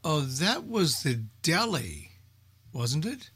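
A man's voice: fairly high pitch, big pitch swings, takes it slowly, a bit bright, breathy edge. A man hosting an informal group discussion, chatting casually with the others. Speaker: host.

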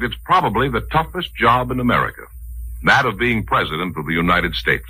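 Male vintage radio announcer, emphatic, sharp and forceful, lively low-ish delivery of scripted public-service copy. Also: husky, low-quality recording. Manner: emphatic, rhetorical.

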